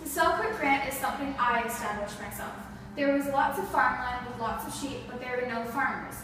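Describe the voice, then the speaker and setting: A woman reading scripted answers in the role of a historical man, in a plain, steady way. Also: sits high, a little fast, natural voice. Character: grown man, a historical Canadian figure. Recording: echoey room, far from the mic, with background noise.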